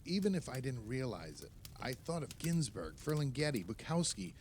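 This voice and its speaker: A man's voice: medium pitch, very animated, moderate pace, a little mellow, a little hiss or hum, close to an everyday voice. An actor playing a fretful, self-doubting older male novelist in a naturalistic audio play, hesitant and prone to rambling complaint. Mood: impassioned, bitter.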